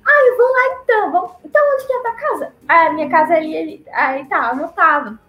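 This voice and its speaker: A young woman from Brazil, chatting casually and laughing often as she tells stories, acting out the people she quotes in a higher voice. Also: lively intonation, fast talker, echoey room, lo-fi sound, soft background music. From Paraná, southern Brazil. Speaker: guest, former missionary. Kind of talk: casual conversational storytelling.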